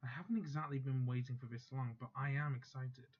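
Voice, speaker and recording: A man's voice: mid-range voice, fairly even intonation, dull audio. Young man reading a visual novel aloud. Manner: halting read-aloud, stops to check pronunciation. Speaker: gaming YouTuber. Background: faint background music.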